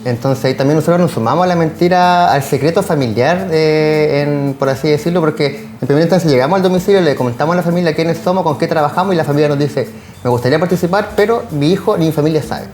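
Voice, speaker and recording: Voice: mid-range voice, quick pace, a little mellow, soft background music. A social worker with a Chilean accent, explaining casework conversationally as an interview guest. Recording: roomy echo, faint background noise.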